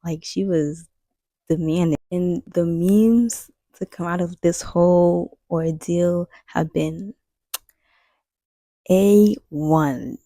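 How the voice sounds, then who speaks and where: A woman's voice: fairly low pitch, slow, warm tone, dry recording. A young woman host telling a story in a chatty, slangy commentary style. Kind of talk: casual commentary.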